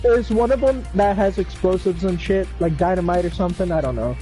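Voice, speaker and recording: A man chatting casually during a game livestream, rambling with fillers and swearing, his voice going hoarse. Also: high-pitched, fairly quick, dark tone, faint music behind. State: losing his voice. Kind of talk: casual chat.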